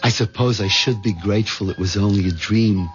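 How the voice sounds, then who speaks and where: A man's voice: lowish voice, fairly quick, lightly acted. An actor playing a meek, henpecked middle-aged office man in a 1970s radio drama, hesitant and stammering. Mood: wistful, resigned. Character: meek, henpecked married office man, flustered natural-born loser. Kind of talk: 1970s radio drama acting with first-person narration. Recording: dull vintage radio recording, music behind.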